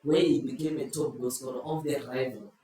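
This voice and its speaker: A man hosting a casual football quiz, giving slow, playful clues to his friends. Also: mid-range voice, fairly even intonation, warm tone. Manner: playful, drawn-out clue-giving. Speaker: quiz host. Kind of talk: casual group chat, posing football riddles. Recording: echoey room, far from the mic, faint noise.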